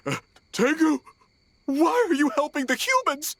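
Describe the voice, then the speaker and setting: Wildly animated boyish little yokai. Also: female voice, sits high, a little slow, heavily acted. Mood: accusing. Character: small mischievous boyish yokai trickster, naive and defiant. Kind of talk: anime game voice acting.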